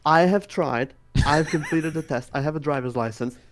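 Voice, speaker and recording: A man's voice: fairly high pitch, moderate pace, a little hiss or hum. A man with a Czech accent giving casual answers as an interviewed competitor. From Czech Republic. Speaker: race winner being interviewed.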